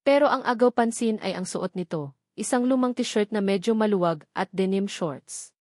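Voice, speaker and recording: Woman reading a love story, steady voice, medium pitch, dry close sound. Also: moderate pace, no room sound. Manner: steady storytelling, mixing in English phrases. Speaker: narrator. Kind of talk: scripted romance story narration.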